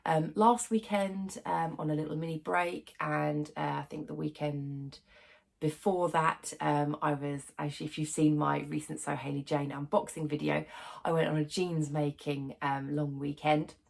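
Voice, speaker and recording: A woman's voice: sits low, little pitch movement, moderate pace, creaky, a little mellow. A young woman hosting her sewing channel, chatting to camera with a stuffy nose from a cold, full of ums and little laughs. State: congested from a cold. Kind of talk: casual chat to camera.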